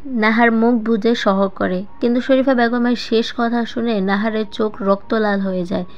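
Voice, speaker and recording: A woman's voice: a little high, fairly even intonation, normal speed. Woman narrating a story, muffled lo-fi sound. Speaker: storyteller, audiobook-style narrator. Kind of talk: scripted dramatic story narration. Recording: dull lo-fi voice-over with music. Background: background music.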